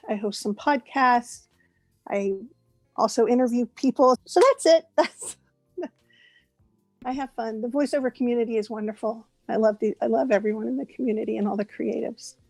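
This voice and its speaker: A woman in her late forties, a voice actress chatting as an interview guest, talking warmly and laughing often. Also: a little high, steady tone, moderate pace, no room sound, faint music behind. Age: 40s. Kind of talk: casual interview chat.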